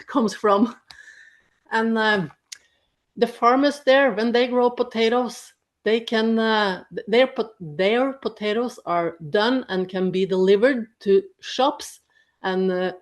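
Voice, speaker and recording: A woman with a Norwegian accent gives a webinar talk about her farm over a video call. She speaks slowly and haltingly. She often drops in small friendly laughs, at a middling pitch. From Norway. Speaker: dairy farmer presenter. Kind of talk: webinar presentation.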